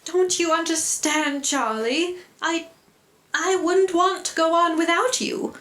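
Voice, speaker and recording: Woman acting a prim lady adventurer, very high voice, fluttery, proper, determined, radio drama, echoey live stage. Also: slow, a bit bright, full character voice. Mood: tender. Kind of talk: old-time radio play acting. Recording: roomy live stage sound.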